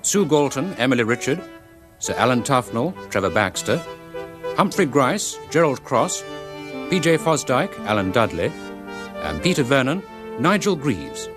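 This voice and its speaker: A man's voice: medium pitch, sing-song, measured pace. A man reading scripted closing credits as a radio announcer. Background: music.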